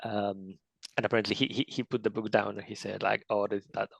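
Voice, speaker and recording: A low, gravelly male voice with a flat, even delivery, recorded dry and close. He is an author talking casually in an interview, stumbling over restarts as he tells stories about his readers. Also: a little fast, no room sound.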